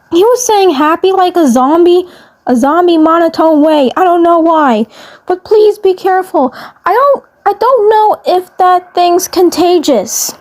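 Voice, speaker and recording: Woman voicing a high-pitched, panicky, stammering boy. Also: a little mellow. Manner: stammering, repeats phrases. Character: frightened boy, panicky and bewildered.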